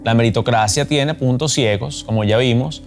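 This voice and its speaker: A man's voice: medium pitch, normal speed, faint music behind. A man presenting a scripted explainer, narrating arguments and facts as the show's host.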